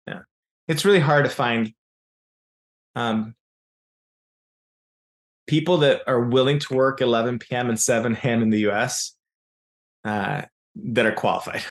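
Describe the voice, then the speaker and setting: A man in his forties whose first language is Serbian, answering interview questions in an explanatory way, often pausing on "uh" and restarting phrases. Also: mid-range voice. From raised in Yugoslavia. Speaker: business founder as guest.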